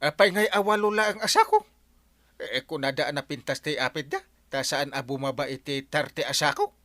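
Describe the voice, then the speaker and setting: A man playing an excitable, easily aggrieved village uncle in a radio comedy, with a Filipino accent and stammering, exclamatory delivery. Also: on the high side, normal speed, dry recording. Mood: indignant, suspicious. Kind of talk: Ilocano radio comedy drama acting.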